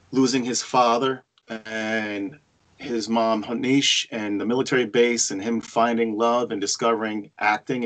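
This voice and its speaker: Man giving halting feedback on a call. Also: medium pitch, a bit bright. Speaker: table-read participant giving feedback. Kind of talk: casual spoken feedback on a video call. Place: remote video call. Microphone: video-call microphone.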